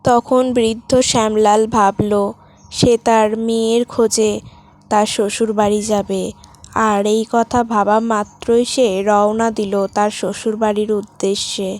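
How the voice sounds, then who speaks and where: A woman's voice: mid-range voice, level tone, a little slow, a little mellow. A woman reading a scripted sad story as the narrator of a cartoon tale.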